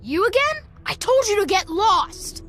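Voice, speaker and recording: Very high, prickly kid. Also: female voice, very animated, measured pace, a bit bright, soft background music, exaggerated voice. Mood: hostile, grudging. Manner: snappy, grudging. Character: prickly, hostile child gatekeeper. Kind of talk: video game character acting.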